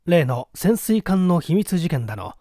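A man's voice: a little high, big pitch swings, measured pace, warm tone, no echo, clean studio sound. A man reading a story aloud as a dramatic scripted narration. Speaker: audiobook reader.

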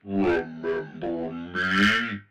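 A man's voice: deep voice, steady tone, pushed and bright, recorded from a distance, faint music behind, full character voice. A man voicing a sinister animatronic bear in a fan-made cartoon, menacing and subdued in manner. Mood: ominous. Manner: slow, ominous, subdued. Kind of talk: fan voice acting for animated movie.

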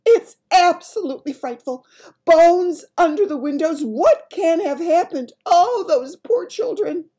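A woman's voice: fairly high pitch, big pitch swings, moderate pace, dark tone, husky, pitched above the performer's own voice, full character voice. A woman voicing a regal older Queen in a storybook, with a proper, grand and emphatic manner. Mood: horrified.

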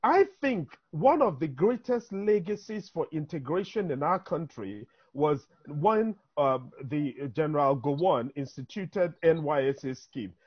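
A middle-aged man with a Nigerian accent speaks as a panelist in an online discussion. His voice sits high and swings widely in pitch as he lays out his points in an emphatic, teacherly way. The sound is dull, like a low-quality video call. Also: animated, unhurried, hardly any pauses, slightly rough. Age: 50s. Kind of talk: impassioned speech in an online town hall discussion. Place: indoor room, on a video call.

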